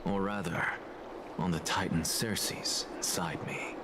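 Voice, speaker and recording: An actor voicing an aloof, self-assured scholar in a fantasy video game, lecturing in a measured, precise way. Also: male voice, deep voice, fairly even intonation, very noisy. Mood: cool, analytical.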